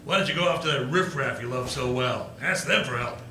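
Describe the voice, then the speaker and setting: Miserly old male tycoon played by a man, forceful and sneering, radio play, roomy stage sound. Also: medium pitch, brisk, lightly acted. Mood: scornful. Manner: sneering, commanding, interrogating. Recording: roomy live stage, faint ambient noise.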